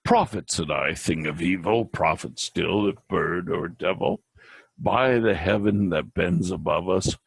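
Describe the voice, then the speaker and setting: A man giving a theatrical dramatic poetry recitation in a gravelly, husky voice, with drawn-out pauses and emphatic repeats. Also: deep voice, no room sound. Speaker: amateur reader of a classic poem.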